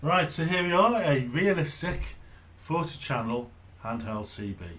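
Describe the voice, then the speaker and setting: A middle-aged man giving casual unboxing commentary, thinking aloud in an unhurried way as he handles things at a desk in a small room. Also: on the high side, lively intonation, fairly bright tone, a little hiss or hum. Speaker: hobbyist reviewer. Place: small home office, indoors.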